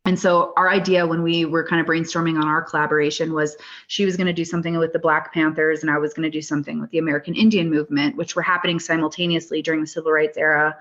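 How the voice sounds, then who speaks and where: A woman with a low voice talks earnestly about her work, pausing and restarting as she searches for words. The sound is somewhat dull and lo-fi. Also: flat delivery, a bit bright. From Standing Rock, US. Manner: earnest, thoughtful, with frequent restarts. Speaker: guest artist. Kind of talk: interview conversation. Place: Denver, US.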